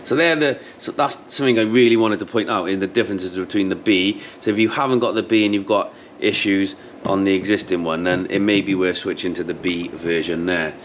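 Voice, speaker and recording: A man's voice: low-pitched, fairly even intonation, fairly quick, hardly any pauses. A man in his thirties giving a casual, explanatory how-to product demonstration, repeating key points as he goes. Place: showroom with intercom display wall, indoors. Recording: clearly noisy room background.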